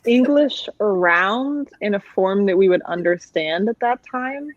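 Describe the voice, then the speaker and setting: A woman's voice: medium pitch, lively intonation, unhurried, no room sound. A woman in a casual book chat as co-host, musing and a little wry, recorded on dull call audio. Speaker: co-host of a book readalong livestream. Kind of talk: casual chat about books.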